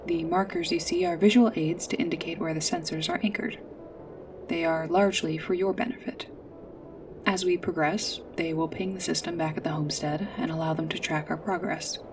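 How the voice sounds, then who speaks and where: A woman playing a polite android guide in a sci-fi ASMR roleplay, speaking in a formal, precise, measured way. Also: low-pitched, steady tone, far from the mic, slight background noise, natural voice. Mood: formal, helpful. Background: music.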